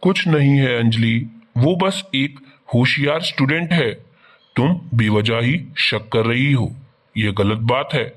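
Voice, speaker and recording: A man's voice: mid-range voice, a little slow, natural voice. A man playing a principled schoolteacher, defensive but understanding, in scripted cartoon dialogue.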